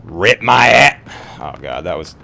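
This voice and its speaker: A man chatting casually as a tabletop roleplay player, thinking out loud and swearing as he reacts. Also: sits low, very animated, unhurried, creaky. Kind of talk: casual game chat.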